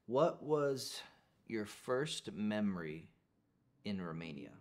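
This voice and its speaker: A man's voice: on the low side, sing-song, takes it slowly, a little mellow. A young man, the podcast host, asking his father open, curious questions in an interview.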